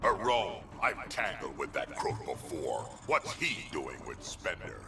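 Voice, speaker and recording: A man voice actor playing a gruff, seasoned veteran in a sci-fi video game. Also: low-pitched, sing-song, unhurried, over music, full character voice. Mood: gruff, suspicious.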